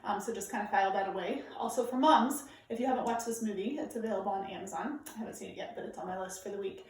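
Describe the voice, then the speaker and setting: A woman reading aloud to children like a teacher, with clear, deliberate, emphatic phrasing. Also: mid-range voice. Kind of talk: read-aloud storytelling for children, informal introduction. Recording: very echoey room, distant microphone.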